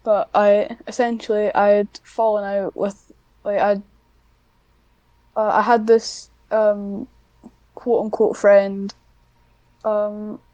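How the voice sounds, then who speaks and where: A woman's voice: medium pitch, mellow, no room sound, lo-fi sound. A woman chatting casually as an interview guest, hesitant and self-deprecating. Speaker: podcast guest, amateur scriptwriter.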